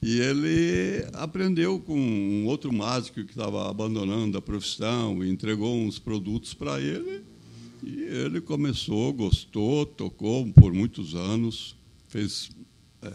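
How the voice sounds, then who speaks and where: An older man, a podcast guest talking in a halting, plain-spoken way with many repeated phrases. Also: fairly low pitch, vocal fry, darkish tone. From Brazil. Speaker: podcast guest, business owner. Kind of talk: casual interview chat.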